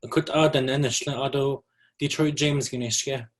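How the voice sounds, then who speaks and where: A Navajo man from the US Southwest giving a measured presentation at a virtual symposium, with frequent ums and throat clearing. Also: medium pitch, steady tone. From Navajo Nation, US Southwest. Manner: measured, opens with a greeting in his own language. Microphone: video call.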